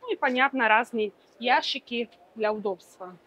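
A woman's voice: fairly high pitch, moderate pace, a bit bright, rough recording. Fluent woman demonstrating wardrobes. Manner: fluent, explanatory, with frequent fillers like "конечно". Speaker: furniture showroom presenter. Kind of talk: product demonstration. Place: furniture fair stand, indoors.

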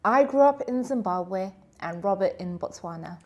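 Woman, brisk playful church presenter. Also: medium pitch, lively intonation, darkish tone, lo-fi sound. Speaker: church leader. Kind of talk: scripted back-and-forth with her husband. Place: studio set.

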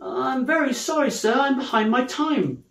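A man's voice: very high-pitched, expressive, moderate pace, vocal fry, echoey room, voice pushed up, heavily acted. A man acting a meek, nervous male clerk who pleads deferentially in a storybook reading. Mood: apologetic, nervous.

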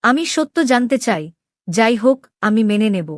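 A woman plays a young princess in a cartoon drama, her voice fairly high and closely recorded. She is proud and wounded in love, pressing her questions with open, demanding emotion. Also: expressive, normal speed, dry recording, studio quality, natural voice. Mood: disbelieving, upset. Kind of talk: dramatic Bengali animated story dialogue.

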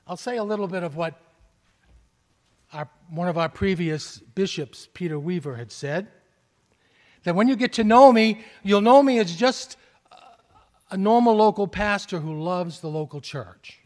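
A middle-aged man with a Boston accent, a pastor preaching a sermon from the pulpit, earnest, with rising calls and soft drops. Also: high-pitched, animated, measured pace, pauses often. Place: large church sanctuary. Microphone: stand mic at pulpit, far camera. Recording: long church reverb.

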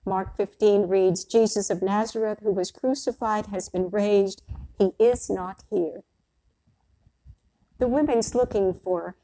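The voice of an older woman you would hear from a small church pulpit on a Sunday, mellow and deliberate, over faint noise. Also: medium pitch, poor audio. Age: older, around 60s. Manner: measured, deliberate phrasing with pauses. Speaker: priest. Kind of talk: sermon, read from a prepared text.

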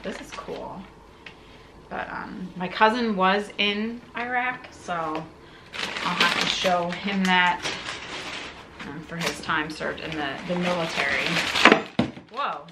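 A woman's voice: fairly low pitch, slow, pauses often, heavy background noise. A woman in her thirties talking casually to camera as she hosts an unboxing vlog, musing aloud in a conversational way. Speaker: content creator, video host. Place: living room, indoors.

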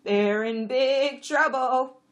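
A woman's voice: high voice, extremely slow, voice pushed up. A woman voicing a dim, bickering male pack donkey with a blunt manner in an audiobook story. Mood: puzzled, uneasy. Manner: blunt, stubbornly echoing complaints. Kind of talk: audiobook character voice.

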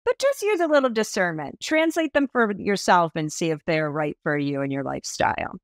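A woman in her fifties, a stylist being interviewed on a podcast over a video call, telling stories with lively enthusiasm. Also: fairly low pitch, fairly quick, dry recording, clean studio sound. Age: 50s. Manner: animated, enthusiastic storytelling with expressive hand gestures. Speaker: guest stylist. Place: small indoor room.

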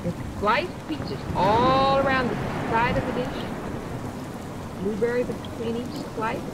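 A commercial presenter giving a gentle, coaxing show-and-tell to children, full of rhetorical questions, in an old-fashioned style. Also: medium pitch, steady tone, a little slow, heavy background noise. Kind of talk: vintage cereal demonstration addressed to children. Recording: old broadcast under loud rain and thunder. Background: heavy rain and thunder.

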